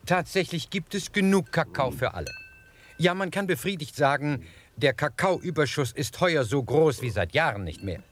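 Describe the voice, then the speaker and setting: A man's voice: medium pitch, slight background noise, lightly acted. A man playing a pompous, unctuous company executive giving a formal after-dinner address in a German radio play. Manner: pompous, unctuous speech-making. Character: smug, formal male chocolate-company executive giving a statement.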